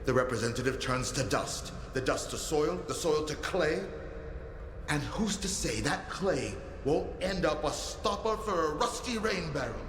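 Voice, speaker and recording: A fanatical, grief-maddened male cult leader from a video game. His voice is high and unhurried as he declaims dark philosophy like a stage soliloquy. The sound echoes around him. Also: expressive. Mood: morbid musing. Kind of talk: theatrical dramatic monologue in a video game. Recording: echoey space, loud ambient noise.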